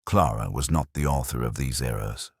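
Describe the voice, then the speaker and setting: Man playing a very deep, brooding police inspector. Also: moderate pace, breathy edge, dry recording, clean studio sound, heavily acted. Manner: brooding, solemn, literary. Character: melancholic, introspective male police inspector narrating his case. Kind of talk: scripted first-person detective audio drama narration.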